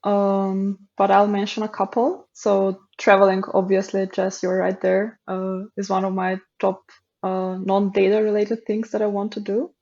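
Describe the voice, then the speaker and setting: A woman's voice: mid-range voice, normal speed, lo-fi sound. A woman from North Macedonia whose first language is Macedonian, a podcast guest chatting casually and laughing often. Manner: laughs often, relaxed and friendly. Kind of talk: casual interview chat.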